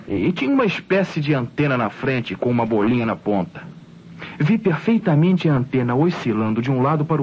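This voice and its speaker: A man's voice: mid-range voice, lightly acted. An actor playing an insistent, convinced boy with a Brazilian accent in an old radio drama. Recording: dull, noisy old radio recording.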